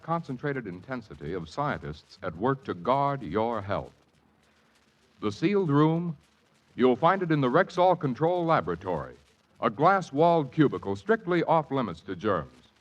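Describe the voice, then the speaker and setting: An American man narrating a 1940s radio advertisement in a measured, solemn, polished manner. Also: mid-range voice. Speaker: commercial announcer. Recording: dull 1940s radio recording.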